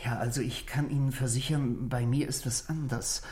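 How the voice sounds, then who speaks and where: A man's voice: mid-range voice, fairly even intonation, normal speed, darkish tone, lightly acted. An actor playing a nervous, fussy salesman of around forty in a 1960s German radio play, hesitant, stammering and very apologetic. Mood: flustered, apologetic. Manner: hesitant, stammering, over-polite, flustered.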